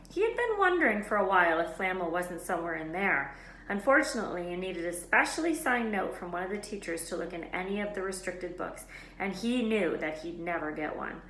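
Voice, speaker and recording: A woman reading a children's novel aloud as an expressive storyteller. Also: fairly low pitch. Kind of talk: expressive scripted children's book narration. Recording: echoey room with faint noise.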